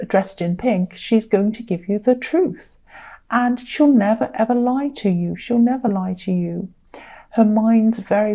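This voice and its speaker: Mellow, slightly croaky, tired woman. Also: mid-range voice. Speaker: tarot reader. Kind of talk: conversational tarot reading to viewers.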